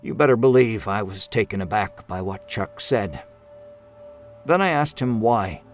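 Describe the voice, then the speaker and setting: A man narrating a first-person horror story in a slow, brooding, measured way. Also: lowish voice, expressive, soft background music.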